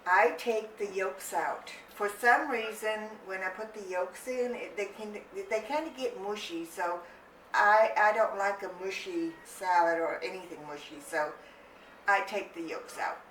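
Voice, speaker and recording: A woman's voice: mid-range voice, a little slow, a bit bright, a little hiss or hum. An older woman from Germany hosting a home cooking channel, explaining a family recipe step by step in a chatty, homey way. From Germany, Rhineland-Palatinate. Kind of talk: casual cooking demonstration. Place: home kitchen. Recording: echoey room, voice from a distance.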